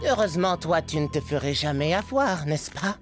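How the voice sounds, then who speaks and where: A woman voicing a skeptical, teasing young friend in a French animated cartoon. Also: deep voice, normal speed, over loud music. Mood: teasing, doubtful. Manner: teasing, knowing, doubtful.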